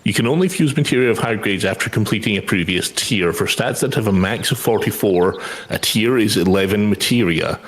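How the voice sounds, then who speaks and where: A man's voice: lowish voice, brisk, few pauses. A man chatting casually and reading game text aloud during a gaming session.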